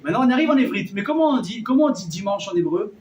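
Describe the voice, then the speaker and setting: A rabbi, a man, teaching a lively class in a hall, his high voice bouncing around the room. He sounds a little distant from the mic. He jokes and throws questions at the crowd as he goes. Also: fast talker, muffled recording. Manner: animated, jokey, quizzes the audience. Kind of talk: lively Torah class with audience. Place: hall with a live audience.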